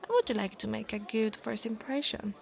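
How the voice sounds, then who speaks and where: A woman playing a big, domineering but insecure dragon college bully in an ASMR roleplay, with a teasing, haughty manner. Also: fairly high pitch. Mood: grudging, proud. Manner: teasing, haughty, drawn-out delivery with breathy asides.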